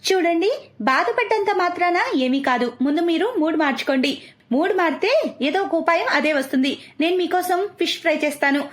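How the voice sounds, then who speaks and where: A woman's voice: very high-pitched, fairly quick, pitched above the performer's own voice. A woman voicing a caring, eager young wife and daughter-in-law in a cartoon story. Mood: reassuring.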